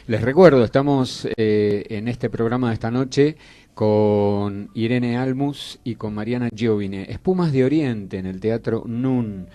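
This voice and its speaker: A man's voice: mid-range voice, unhurried, dark tone. A man in his sixties with a Buenos Aires Argentine accent, talking conversationally as a radio interview host. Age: 60s.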